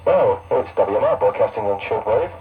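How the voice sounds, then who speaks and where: A man's voice: on the low side, animated, normal speed. A man presenting on radio in a casual, unpolished way, reading loosely from notes. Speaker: shortwave radio station presenter. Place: pirate radio station broadcasting from Scotland. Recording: tinny, distant shortwave radio broadcast.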